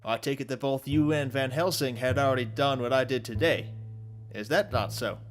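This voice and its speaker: An actor playing a loyal, plain-spoken young gentleman adventurer in an audio drama, frank, folksy and earnest. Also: mid-range voice, normal speed, faint music behind, natural voice. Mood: grave, probing.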